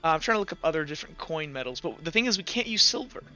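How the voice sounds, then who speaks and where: A man running a tabletop game as game master, talking casually and haltingly as he thinks out loud. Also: fairly high pitch, animated. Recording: slightly dull, music behind. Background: background music.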